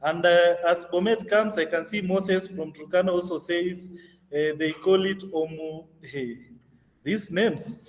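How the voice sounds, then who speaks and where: A man's voice: high-pitched, measured pace, big-room sound, distant mic, rough recording. A man moderating an online youth training session, talking conversationally with plenty of fillers. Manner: conversational, many fillers, laughs easily.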